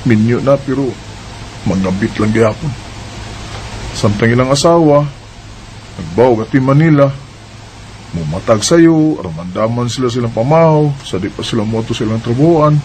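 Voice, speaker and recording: A man's voice: medium pitch, lively intonation, moderate pace, pauses often, a little mellow, slight background noise, natural voice, poor audio. A young man acting the lead in a homemade radio drama, playful and laughing when courting, earnest when troubled.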